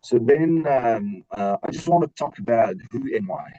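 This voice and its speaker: Male academic presenter, Afghan accent, mellow and husky, lively intonation, hesitant delivery with repeated words, muffled webinar sound. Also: medium pitch. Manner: halting, searching for words, repeating himself. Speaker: PhD researcher and university teacher presenting. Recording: dull, roomy webinar audio.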